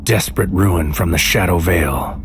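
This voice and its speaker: An actor playing a grim, intense psychic soldier who narrates a sci-fi audio drama in clipped, cryptic, incantatory fragments. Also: male voice, sits low, moderate pace, slight background noise. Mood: grim, watchful. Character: male psychic soldier on a mission into another's mind, grim, intense. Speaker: narrator and lead character. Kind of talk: scripted sci-fi audio drama, spoken-word monologue.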